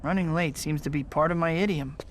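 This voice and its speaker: An actor playing an earnest, burdened young American superhero in a video game, with a dry, understated humour. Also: male voice, on the high side, fairly even intonation, fairly quick, a little hiss or hum, natural voice.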